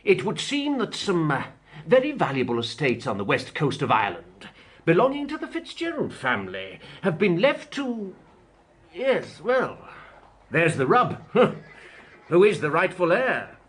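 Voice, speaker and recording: Older gentleman lawyer, period radio drama, high swooping voice, husky, hearty and brisk. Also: wildly swooping pitch, exaggerated voice. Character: brisk, hearty elderly male London lawyer uncle. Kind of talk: period audio drama acting.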